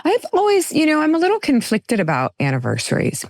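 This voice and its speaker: A woman in her forties hosting a podcast in casual chat, animated and laughing often. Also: high voice, a little fast, no echo, studio quality. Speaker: podcast host.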